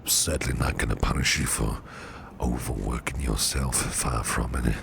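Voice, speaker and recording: A man playing a flirtatious, protective businessman boss in a soft, slow, soothing ASMR roleplay, with a deep, gravelly near-whisper. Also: fairly even intonation, background noise. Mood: soothing, attentive. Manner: slow, soothing, teasing, repeats phrases softly.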